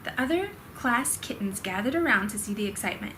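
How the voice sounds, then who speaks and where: A woman's voice: medium pitch, animated, brisk, fairly bright tone, a little hiss or hum. A woman storyteller reading a children's storybook expressively to her child.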